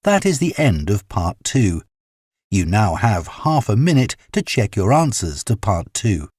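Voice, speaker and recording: A man reads the instructions for a listening exam with formal, deliberate pacing. His voice is somewhat husky and low, with a lively rise and fall. The sound is dry and close. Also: dry recording. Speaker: test narrator.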